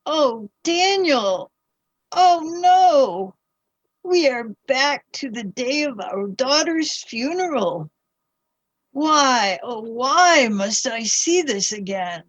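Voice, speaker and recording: Woman acting a husky, very slow grieving mother. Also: high-pitched, lively intonation, no echo, full character voice. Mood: anguished. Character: grieving mother from a past century, anguished. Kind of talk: radio play acting.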